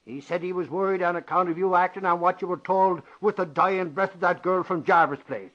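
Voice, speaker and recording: A man's voice: high voice, brisk, fairly bright tone. An actor playing a blustering, suspicious, folksy man with an insistent manner in an old-time radio drama. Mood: urgent, insistent. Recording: muffled vintage radio recording.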